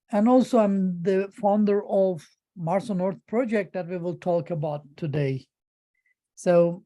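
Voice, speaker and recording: A middle-aged man with a Turkish accent gives an informal presentation in halting English, often repeating words as he searches for phrasing. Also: high-pitched, warm tone. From Turkey.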